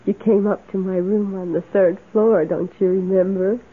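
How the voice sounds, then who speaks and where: An actress playing a meek, lonely, adoring maid in a radio drama, speaking in a timid, halting, wistful way. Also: female voice, mid-range voice, steady tone, breathy edge, natural voice. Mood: flustered, adoring. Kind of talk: old radio drama acting. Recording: tinny, hissy old radio recording.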